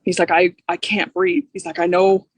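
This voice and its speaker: An American woman speaks in a raspy, husky voice with a dry sound free of room echo. She is grieving and emotional, telling a personal story as an interviewee would. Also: fairly low pitch, level tone, moderate pace. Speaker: bereaved partner interviewed for local news. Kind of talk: personal account in a news interview.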